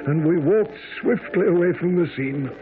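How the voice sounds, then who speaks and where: An actor playing an earnest, loyal gentleman who narrates a radio mystery in a dramatic storytelling manner. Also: high-pitched, moderate pace, lightly acted. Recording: very muffled old radio broadcast, music.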